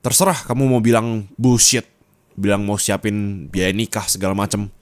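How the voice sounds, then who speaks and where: Deep-voiced young man scolding softly. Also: flat delivery, normal speed, dry recording. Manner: low, intimate, scolding, rising to angry outbursts. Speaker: ASMR roleplay boyfriend. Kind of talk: ASMR roleplay monologue.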